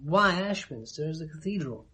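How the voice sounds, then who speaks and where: A man with a British accent acting an unruffled police colleague in a 1970s radio drama, explaining things in a wry, measured way. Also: a little high, expressive, pushed and bright. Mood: matter-of-fact. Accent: British English.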